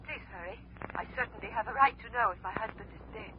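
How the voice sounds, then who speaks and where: A woman's voice: fairly high pitch, fast talker, close to an everyday voice. A woman playing an anxious, insistent wife on the telephone in a 1950s radio drama. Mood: anxious, urgent. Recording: muffled, noisy old telephone-scene radio recording.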